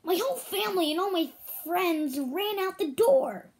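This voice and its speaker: A woman voicing a tiny, earnest male ant with a squeaky, eager way of explaining things in a read-aloud storybook. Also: sing-song, moderate pace, background noise. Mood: urgent.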